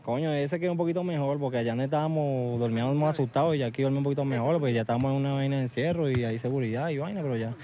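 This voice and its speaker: A man's voice: medium pitch, very fast, hardly any pauses, a crowd in the background. A man from Venezuela with a Venezuelan accent, interviewed outdoors at a camp, talking in a rambling, informal way full of slang.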